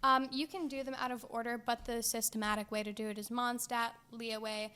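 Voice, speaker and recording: Teen girl cohosting a podcast, laughs a lot, lots of ums, kinda high pitched. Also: steady tone, brisk, bright, forceful tone, clear, resonant tone. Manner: giggly, hesitant with frequent ums. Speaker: podcast co-host. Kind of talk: casual chat.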